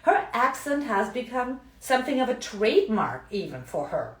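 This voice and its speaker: A woman's voice: on the high side, very animated, normal speed, fairly bright tone. German-accented woman coaching in a roomy space. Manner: chatty, frequent tag questions. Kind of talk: live video talk. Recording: roomy, mic at a distance.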